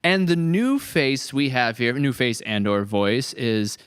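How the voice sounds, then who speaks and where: A man's voice: medium pitch, animated, unhurried, a bit bright, clear, resonant tone, no echo. A man hosting a casual podcast chat, upbeat and jokey, holding his mic in hand. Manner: upbeat, jokey, lots of restarts. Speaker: podcast host. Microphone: handheld mic.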